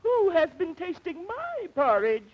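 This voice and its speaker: Woman playing a fussy mama bear, shrill, very high, lilting, dull old radio sound. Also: huge pitch swings, unhurried, exaggerated voice. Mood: indignant. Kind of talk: old-time radio comedy acting.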